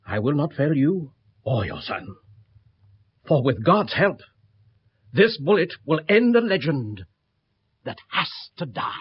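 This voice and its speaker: Male, British, courteous, resolute village gentleman in vintage radio horror; husky, swooping intonation, measured theatrical delivery, muffled recording. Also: fairly high pitch, very animated, full character voice. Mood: solemn resolve. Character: grave, genteel village man, devout and resolute. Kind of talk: 1970s British radio horror drama acting. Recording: dull old radio recording.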